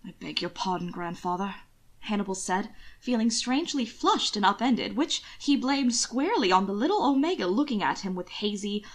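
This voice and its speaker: A woman's voice: a little high, expressive, normal speed, hardly any pauses. A woman reading scripted fiction aloud as the narrator of an amateur audiobook.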